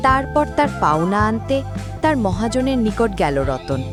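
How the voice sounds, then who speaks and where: A woman's voice: on the high side, sing-song, normal speed. A woman narrator reading a scripted storybook-style tale. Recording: loud music, rain and thunder behind.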